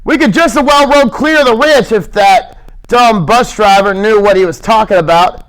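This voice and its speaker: A small, short-tempered working man who scolds and grumbles in a high, irritable voice, as a male reader puts him on. He talks with gruff impatience in this storybook reading. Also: expressive, moderate pace, fairly bright tone, low-quality recording. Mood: gruff, scolding. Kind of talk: audiobook character reading.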